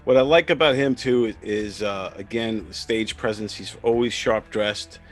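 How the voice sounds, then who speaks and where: A man hosting a casual chat, speaking in halting phrases and often repeating words. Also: fairly low pitch, faint music behind. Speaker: host.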